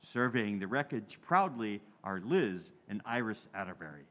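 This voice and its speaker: Cheery male 1940s radio announcer acted by a man, folksy pitchman delivery, very animated, lo-fi sound. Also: normal speed. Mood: wry narrating. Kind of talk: 1940s radio ad and scene narration. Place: community theatre stage radio recreation. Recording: dull, lo-fi stage recording.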